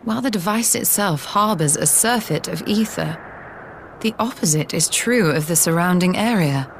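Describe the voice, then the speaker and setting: Composed scholarly mage, lowish voice. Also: female voice, animated, slight background noise. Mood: thoughtful, composed. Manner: measured, formal, analytical. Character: composed, scholarly young woman mage in a fantasy game. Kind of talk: dramatic video game cutscene acting.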